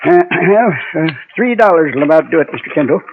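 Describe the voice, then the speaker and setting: An American actor playing a folksy, chatty but firm frontier gunsmith in a Western radio drama. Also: male voice, fairly high pitch, expressive, fast. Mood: easygoing. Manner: folksy, drawling frontier phrasing. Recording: dull vintage radio recording, hiss.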